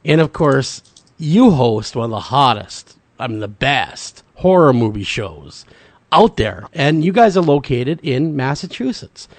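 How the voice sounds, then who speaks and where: A man hosting a casual interview, upbeat and joking, a bit gravelly tonight, with frequent stammering restarts. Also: mid-range voice, sing-song, unhurried, dry recording. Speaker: radio show host.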